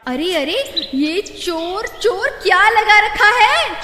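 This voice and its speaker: A woman's voice: extremely high pitch, very animated, slow, bright, forceful tone, pitched above the performer's own voice, exaggerated voice. A woman voicing dramatic, bossy village wives in a children's moral story, with an exclaiming manner. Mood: indignant. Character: indignant, scheming village wives, shrill and bossy. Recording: echoey reverberant sound with faint music.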